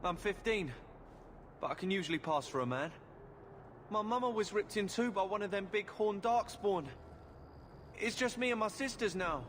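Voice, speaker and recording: A man's voice: sits high, lively intonation, moderate pace, pauses often, fairly bright tone. A man playing a scared, earnest fifteen-year-old boy with plain rustic speech in a fantasy video game. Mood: earnest, sorrowful. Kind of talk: video game cutscene acting. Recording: clear ambient game noise behind.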